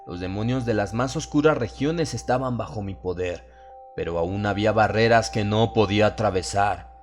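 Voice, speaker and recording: A man with a low voice narrates a horror story. His delivery is solemn and dramatic, in the manner of a dramatized audiobook. Music plays behind him. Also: normal speed.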